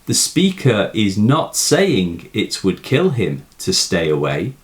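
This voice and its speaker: A man presenting a scripted literary analysis and reciting verse as a teacher, with measured, deliberate phrasing and dramatic emphasis. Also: lowish voice.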